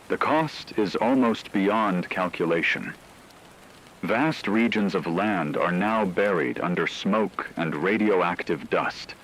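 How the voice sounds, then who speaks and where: A man voicing a grave radio news anchor, reading scripted disaster news in a solemn, dramatic, measured way. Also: deep voice, a little hiss or hum, low-quality recording. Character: grave male radio anchor reporting an apocalypse.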